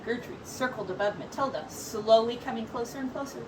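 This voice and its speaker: High-voiced woman reading a storybook aloud. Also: a little fast. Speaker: teacher reading aloud to young children. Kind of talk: expressive picture book read-aloud. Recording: distant mic, faint background noise.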